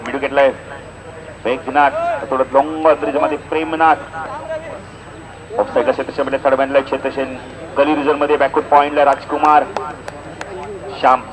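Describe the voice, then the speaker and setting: A man giving live play-by-play cricket commentary in an animated announcer's delivery, with punchy stock phrases. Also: on the high side, poor audio. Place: outdoor cricket ground. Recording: outdoor event PA with crowd noise. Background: crowd and outdoor event noise.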